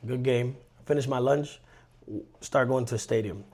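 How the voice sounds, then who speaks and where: A man in his thirties from Colombia, telling stories in a rambling, animated way on a casual podcast. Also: medium pitch, normal speed, husky. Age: 30s. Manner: rambling, animated storyteller with restarts. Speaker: podcast co-host. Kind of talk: casual chat.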